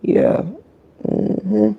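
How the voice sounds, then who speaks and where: A woman with a deep, croaky voice giving sassy, blunt live-stream gossip commentary, full of cursing and kept low so she stays quiet. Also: drawn-out words, highs cut off. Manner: talking low to keep quiet.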